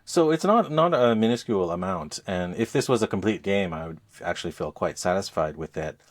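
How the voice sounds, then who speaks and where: Deep-voiced man chatting about games. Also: expressive, fairly quick. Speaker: podcast host reviewing games. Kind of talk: casual game review chat.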